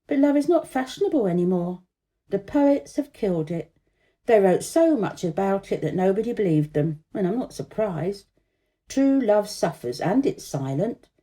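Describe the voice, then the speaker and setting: A woman's voice: mid-range voice, lively intonation, normal speed, mellow, natural voice. A woman voicing a sentimental, melancholy female firework who laments in a pensive way for a children's storybook. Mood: wistful, mournful. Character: sentimental, melancholy female Catherine wheel firework, proud of heartbreak.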